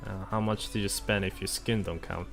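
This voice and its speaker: A man's voice: fairly low pitch, normal speed, creaky, faint music behind. A man streaming a game, chatting casually with viewers, rambling with many fillers and self-corrections. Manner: relaxed, opinionated about game units.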